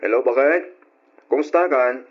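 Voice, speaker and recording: A man's voice: medium pitch, measured pace, faint music behind, close to an everyday voice. A man playing a concerned, affectionate husband in a radio drama, solicitous and questioning, with a Filipino accent. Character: concerned, affectionate adult husband and dutiful son. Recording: dull, lo-fi old radio drama.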